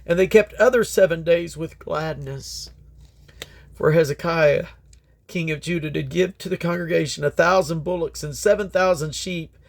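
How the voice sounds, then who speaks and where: A man's voice: a little high, moderate pace, vocal fry. A man reading scripture aloud as a Bible reader, in a solemn, declamatory manner.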